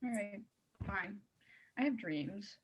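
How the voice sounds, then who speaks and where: Through slightly dull, lo-fi audio comes a restless, guarded teenage girl acted by a woman at a table read. She has a middling pitch and an understated, evasive way of answering. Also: animated, takes it slowly, slightly rough. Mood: guarded, subdued. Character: restless, guarded teenage girl dreaming of leaving her hometown.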